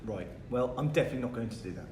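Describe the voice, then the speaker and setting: A man's voice: medium pitch, rapid-fire, close to an everyday voice. A man acts an awkward, insecure teenage boy with a hesitant, defensive way of talking. It is a read-through of a stage play, recorded at a distance in an echoey room with faint noise. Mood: irritated. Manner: hesitant, stammering, nervous.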